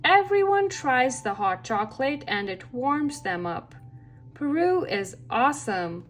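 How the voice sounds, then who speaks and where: A woman reading a children's picture book aloud as a storyteller, with expressive, deliberate phrasing for young listeners. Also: high voice, slight background noise.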